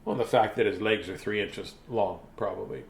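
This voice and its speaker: A man reading a children's bedtime story theatrically, with dramatic pauses and playful asides. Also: on the low side, gravelly.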